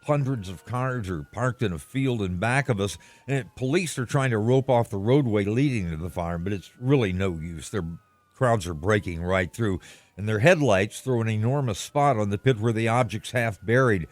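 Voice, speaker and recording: A man playing an earnest, flustered American radio news reporter in a radio play, halting as he describes what he sees. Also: on the low side, expressive, no echo, lightly acted. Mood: urgent, fascinated. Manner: halting, descriptive, searching for words.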